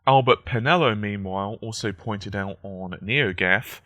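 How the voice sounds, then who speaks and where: Croaky man with a bright, forceful voice. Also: on the low side, unhurried, no room sound, clean studio sound. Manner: conversational, analytical. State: croaky, just woken up. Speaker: gaming news commentator. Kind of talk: casual tech news commentary.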